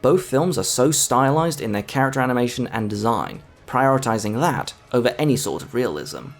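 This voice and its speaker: A man narrates a scripted film review in an enthusiastic, emphatic way. His mid-pitched voice moves around with lively intonation. Soft music plays underneath. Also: fairly quick. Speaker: video essayist, film reviewer. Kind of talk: scripted video essay narration. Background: background music.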